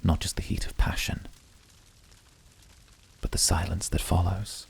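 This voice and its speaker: Casting: male actor as calm, brooding incubus, extremely deep, raspy, quietly seductive. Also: full character voice. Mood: intimate, seductive. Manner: measured, quietly seductive, intimate. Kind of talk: scripted flirty ASMR roleplay.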